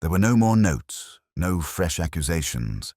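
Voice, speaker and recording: A man narrating a scripted mystery story in a measured, literary manner. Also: deep voice, big pitch swings, dark tone, no room sound, studio quality.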